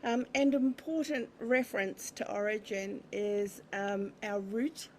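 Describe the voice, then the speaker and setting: A woman's voice: mid-range voice, steady tone, unhurried, darkish tone, a little hiss or hum. A woman hosting a podcast interview over a video call, effusive and lavishing praise on her guest. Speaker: podcast host.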